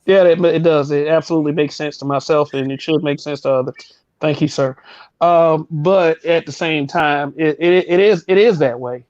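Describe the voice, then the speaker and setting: A man's voice: a little high, normal speed, darkish tone, dry recording, lo-fi sound. A man co-hosting a casual chat, rambling and opinionated with frequent restarts. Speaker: podcast co-host.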